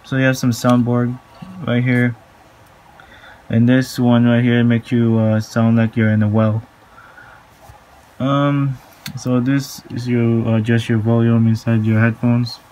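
Man, casual home tutorial presenter. Flat, unhurried, halting delivery on a slightly noisy handheld recording. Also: medium pitch. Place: small room at home, indoors.

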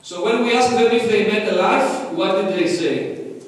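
A man's voice: sits high, moderate pace. A man with a Greek accent giving an interactive conference lecture, quizzing the audience in non-native phrasing. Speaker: conference speaker. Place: large conference hall. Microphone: lectern mic heard from afar. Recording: echoey hall from far off.

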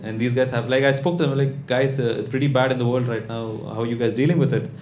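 A man in his thirties with a South Indian English accent performs stand-up comedy, conversational and deadpan, pausing and tagging lines with questions. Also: medium pitch, soft background music. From Bangalore, India. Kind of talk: stand-up comedy routine to a live audience. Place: small indoor comedy club. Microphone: handheld-type stage microphone on a stand. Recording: echoey live club, audience noise. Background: audience laughter.

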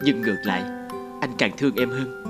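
An actor voicing a devoted, protective young fiancé in an animated drama, earnest, emphatic and tender. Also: male voice, medium pitch, brisk, loud music behind the voice, lightly acted. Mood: earnest, pleading. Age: young adult.

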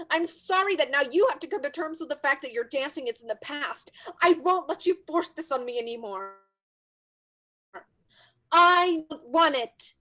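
An actress playing a defiant grown daughter, emotional and argumentative, in a staged reading over video call. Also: female voice, high voice, pushed and bright. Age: young adult. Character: defiant grown daughter, young ballerina standing up to mother. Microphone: video call at desk distance.

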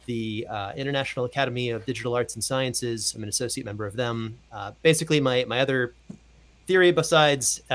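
A man's voice: medium pitch, fairly bright tone. A man in his thirties with an American accent, talking casually and modestly as an interview guest. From Portland, Oregon, United States. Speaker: guest, audio fiction producer. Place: indoor room, video call. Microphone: close studio mic with headphones.

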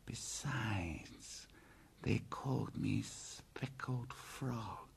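A man doing a slow hissing python. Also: medium pitch, creaky, pitched above the performer's own voice. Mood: terse. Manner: slow hissing half-whisper. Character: huge slow male rock python, cold and terse. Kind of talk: audiobook character voice.

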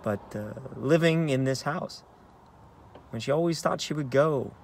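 A man in his thirties with an American accent, an actor reading a play as conversational, halting narration with uneven emphasis, recorded inside a car. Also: fairly high pitch, gravelly, slight background noise. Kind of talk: dramatic narration of a play read on book. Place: inside a parked car in daylight.

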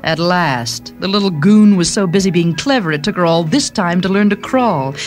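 A woman's voice: on the low side, animated, moderate pace, soft background music, natural voice. An actress playing a doting, chatty young mother in a radio drama, confiding and gushing expressively. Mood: proud, gushing.

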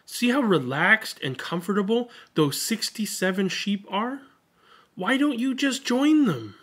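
A man's voice: sits high, lively intonation, a little slow, fairly bright tone, husky, voice pushed up, heavily acted. A man voicing an exasperated young boy in a bedtime storybook, emphatic and impatient. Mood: coaxing. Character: exasperated young boy trying to fall asleep.

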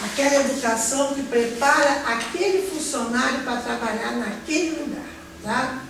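A middle-aged Brazilian woman lecturing to a class, her fairly high voice bouncing around a big echoing room. She is picked up by a distant camera, with steady background noise. She explains at length, restarting phrases and checking in with her listeners. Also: normal speed, gravelly. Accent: Brazilian Portuguese. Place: large classroom with a projector. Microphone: no mic, far camera. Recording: echoey room from far off, noisy.

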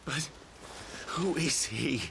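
A man playing an intense, haunted young warrior in a video game, speaking in terse, halting phrases. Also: medium pitch, creaky, sharp tone, a little hiss or hum, exaggerated voice. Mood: troubled. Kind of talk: dramatic video game acting.

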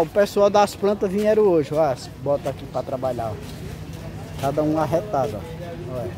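A man with a Northeastern Brazilian accent chatting casually and narrating a street vlog, often repeating his phrases. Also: on the high side. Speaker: vlogger. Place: outdoor street market in a town square. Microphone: handheld camera, speaker behind it. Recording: noisy outdoor street recording with traffic.

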